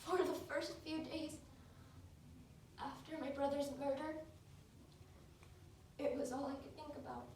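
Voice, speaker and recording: A woman performing a dramatic monologue as a grieving, guilt-ridden young woman, with emotional, deliberate delivery. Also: sits high, fairly even intonation, a little mellow. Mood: tense, haunted. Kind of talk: competition dramatic interpretation monologue. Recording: echoey room, far mic, faint noise.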